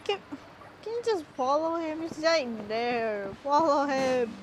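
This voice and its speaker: High-voiced woman streaming a game. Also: lively intonation, slow, noisy background. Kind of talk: casual live gameplay reactions.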